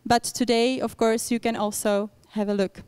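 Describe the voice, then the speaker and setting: A young woman moderates a public debate in English, stopping often to search for words. Her voice is very clear and sits a little high. The sound is dry and close. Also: flat delivery, normal speed, a little mellow, no echo. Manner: frequent fillers and restarts, non-native English. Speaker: event organizer and moderator. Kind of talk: hesitant public speaking at a panel event.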